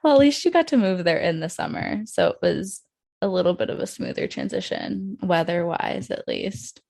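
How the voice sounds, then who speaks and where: Low, gravelly-voiced woman host. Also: big pitch swings, moderate pace, a little mellow. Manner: friendly, laughs often, upbeat. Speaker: podcast host. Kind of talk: casual interview chat.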